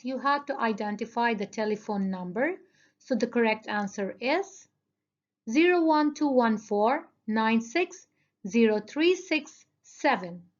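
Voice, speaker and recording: A woman teacher going over listening test answers with her students, explaining each one slowly and carefully. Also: fairly high pitch. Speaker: English teacher. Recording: dull lo-fi recording.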